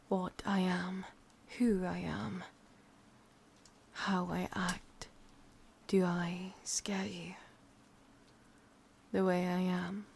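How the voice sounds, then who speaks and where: A woman with an English accent voicing an ancient, lonely, lovesick witch in a breathy, husky whisper for an ASMR roleplay. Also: mid-range voice, darkish tone, full character voice. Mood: seductive, probing. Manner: slow, brooding, intimate delivery.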